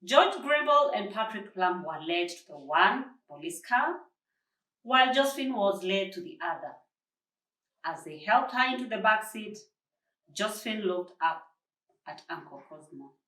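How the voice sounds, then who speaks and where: A woman from Kenya narrates a children's story at a middle pitch. Her phrasing is deliberate and expressive. The room echoes, and she sounds slightly away from the microphone. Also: far from the mic. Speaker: volunteer story reader. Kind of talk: children's book narration read aloud.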